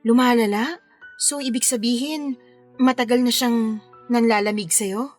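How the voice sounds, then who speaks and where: An actress playing a caring wedding planner and concerned confidante, speaking earnestly in a Filipino radio drama. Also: female voice, fairly high pitch, steady tone, unhurried, faint music behind. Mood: surprised, probing. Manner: soothing, earnest, advising.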